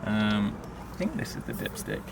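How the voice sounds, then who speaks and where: A man's voice: fairly low pitch, huge pitch swings, moderate pace, background noise. A young man from England giving casual, curious commentary as a travel vlogger. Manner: laid-back, curious remarks. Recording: music playing clearly behind the voice.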